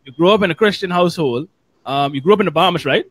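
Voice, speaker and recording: A man hosting a casual podcast, asking his guest direct questions in a brisk way. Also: a little high, a bit bright, husky. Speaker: podcast host.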